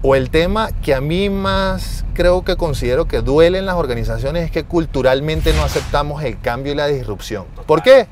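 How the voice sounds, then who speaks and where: A man's voice: fairly high pitch, moderate pace, few pauses, darkish tone. A man in his forties speaking emphatically as a guest in a conversational interview. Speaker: interview guest, financial executive. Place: inside a moving car. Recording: faint vehicle and street noise.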